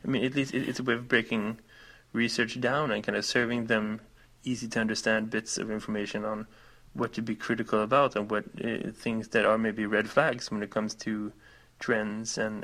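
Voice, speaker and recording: A man's voice: lowish voice, flat delivery, moderate pace, hardly any pauses, fairly bright tone. A man interviewing a guest, asking questions conversationally and often murmuring agreement. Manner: engaged, frequent back-channel agreement, laughs easily.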